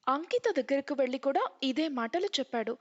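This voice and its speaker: A woman's voice: high-pitched, lively intonation, a little fast, big-room sound, lo-fi sound. A woman narrating a scripted story as its storyteller.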